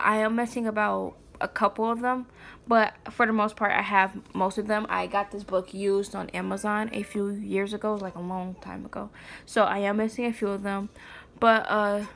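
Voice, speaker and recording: A woman's voice: mid-range voice, flat delivery, moderate pace, creaky, fairly bright tone. A woman reading a children's picture book aloud in an informal way, with chatty asides to a small child. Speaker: mother reading aloud to a child, vlog host. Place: indoors, sitting on the floor.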